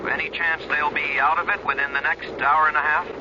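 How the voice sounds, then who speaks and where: An actor playing a businesslike radio operator in a radio drama, speaking in a clipped, precise, procedural way. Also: male voice, fairly high pitch, level tone, a little fast, lightly acted. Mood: concerned, brisk. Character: formal, businesslike radio operator guiding a distressed aircraft. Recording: muffled radio drama with aircraft noise.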